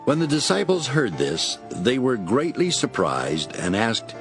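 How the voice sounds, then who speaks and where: A man's voice: medium pitch, wildly swooping pitch, a little slow, music in the background. A man acting as a sober storyteller, narrating a dramatized scripture from a script.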